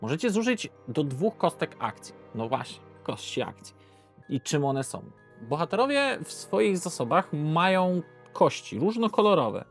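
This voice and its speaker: A man's voice: on the high side, very animated, unhurried. A man presenting a board game in an informal, unscripted way, conversational, posing questions and answering them himself. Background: background music.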